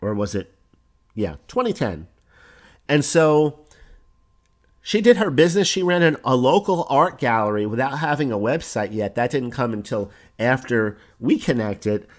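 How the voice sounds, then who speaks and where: A man with a hoarse voice hosting a solo podcast, explaining ideas in a conversational way with examples. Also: mid-range voice, lively intonation, moderate pace. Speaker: podcast host. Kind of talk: solo podcast talk on online business.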